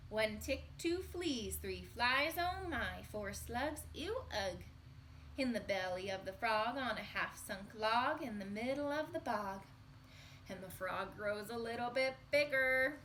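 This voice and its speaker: Bright, slow-paced woman reading to children. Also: on the high side, a little hiss or hum. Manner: sing-song, expressive delivery for young children. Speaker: teacher reading a picture book aloud to children. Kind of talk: children's read-aloud storytelling.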